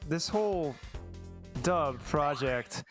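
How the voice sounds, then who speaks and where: A man's voice: a little high, animated, slow pace. A man in a casual discussion as a project team member, phrasing his thoughts hesitantly. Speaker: fan translation and dub team member. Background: game music and sound effects.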